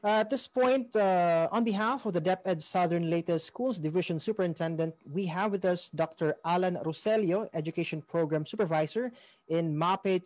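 A woman's voice: fairly low pitch, a little mellow, no room sound, low-quality recording. A woman formally introducing speakers as a master of ceremonies. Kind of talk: formal event hosting.

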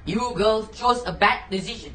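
A man's voice: high voice, moderate pace, pushed and bright, rough recording. A young man acts a stern, menacing campus guard who scolds like an authority. It is amateur drama, with faint noise in the background. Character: stern male campus guard catching mischievous students. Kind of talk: amateur reader's theater acting.

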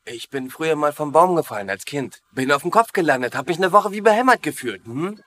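An actor playing an easygoing, slightly naive prisoner of war, matter-of-fact, in a dubbed sitcom. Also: male voice, fairly high pitch, lively intonation, brisk, fairly bright tone.

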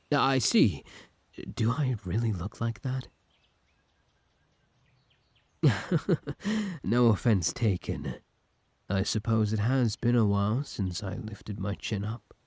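A man's voice: fairly low pitch, darkish tone, husky, dry recording, heavily acted. A young man playing an obsessive, scheming villain who feigns kindness, in an intimate, theatrical audio roleplay. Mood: wistful, guarded. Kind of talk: ASMR audio roleplay, intimate dramatic acting.

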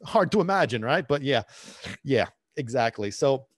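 A man's voice: mid-range voice, animated, normal speed, husky. A man, telling his own story on his podcast, rambling with many restarts and fillers. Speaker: podcast host, dog trainer. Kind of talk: casual personal storytelling. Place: small home room. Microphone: studio mic on boom, headphones.

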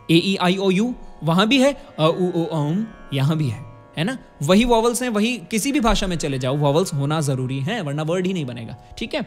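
A man in his twenties with an Indian accent, teaching vocal music in an explanatory way, demonstrating sounds and checking in with tag questions. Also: sits high. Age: 20s. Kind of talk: instructional talk on singing and diction. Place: indoor curtained studio. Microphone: studio condenser microphone close in front.

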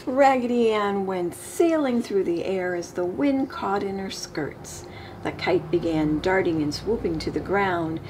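A middle-aged woman reading a children's picture book aloud with expressive, emphasized storybook delivery. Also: mid-range voice, unhurried, rough recording. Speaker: storytime reader. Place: living room, indoors. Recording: clear background room noise.

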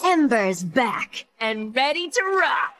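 A teenage ghost girl rocker for a video game. She is showy and taunting, with a high, forceful voice that swoops widely, like a rock star working a stage. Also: sing-song, slow, heavily acted. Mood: brash, taunting.

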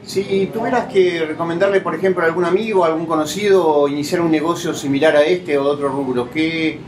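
Interviewer, friendly and steady, slightly high voice, echoey room with noise. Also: male voice, brisk. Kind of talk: casual interview.

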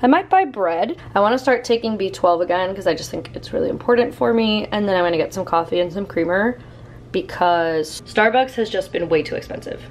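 A woman's voice: mid-range voice, lively intonation, brisk, a little hiss or hum. A woman in her twenties chatting casually to her camera at home, upbeat and thinking aloud, her voice still recovering from being sick. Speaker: vlogger. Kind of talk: casual vlog chat to camera. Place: apartment bedroom and kitchen, indoors.

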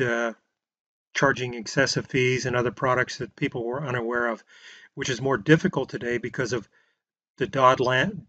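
Male instructor, mid-pitched, steady lecture voice-over; dry, slightly muffled recording. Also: no room sound. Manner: plain explanatory delivery with restarts and filler words. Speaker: real estate instructor. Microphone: voice-over on slides.

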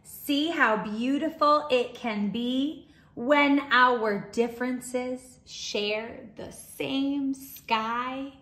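A woman teaching young children, reading a storybook aloud in an expressive, deliberate way. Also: high-pitched. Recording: roomy, echoing indoor sound.

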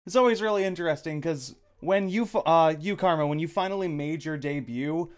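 A man hosting a casual group Q and A, talking in a jokey, animated way with some swearing. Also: on the high side, brisk, clear, resonant tone. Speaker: host and voice actor.

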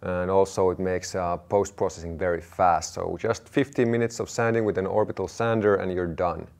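A man in his thirties with a low-pitched voice walks viewers through a do-it-yourself audio project. He presents to camera indoors, explaining each part plainly and factually. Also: darkish tone. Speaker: DIY audio channel presenter. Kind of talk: product walkthrough to camera.

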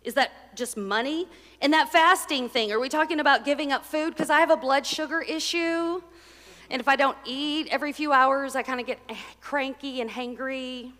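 Woman, 40s, pastor, slightly high voice, unhurried sermon, conversational, long church reverb. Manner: conversational and playful, shifting to soft reflective emphasis. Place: church sanctuary, indoors. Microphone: stand mic at a pulpit.

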